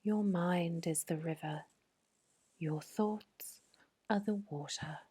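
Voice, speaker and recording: A woman whispering a guided sleep meditation in a soft, breathy voice, slow and soothing. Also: fairly low pitch.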